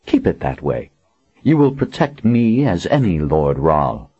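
Brief: male reader as a domineering, menacing lord, low and breathy, slow threats. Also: sing-song, full character voice. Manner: cold, commanding, menacing. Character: arrogant, cruel usurping lord, domineering man. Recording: dull lo-fi audiobook recording.

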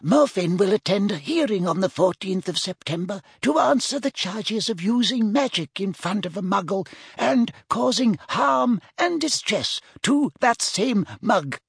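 An officious, indignant male official, put on by a man reading a story. His stern, formal speech is pitched high and swings up and down, with a husky edge. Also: expressive, measured pace, no echo, studio quality, exaggerated voice. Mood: firm, indignant.

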